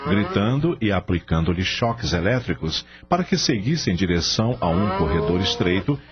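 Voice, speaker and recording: A man narrating a radio drama from a script in a dramatic storytelling style. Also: on the low side. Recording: dull sound under clear music bed.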